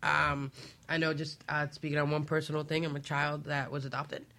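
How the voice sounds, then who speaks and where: A man's voice: on the high side, monotone, normal speed, vocal fry, sharp tone. A man on a talk panel speaking casually and confessionally, candid and emphatic.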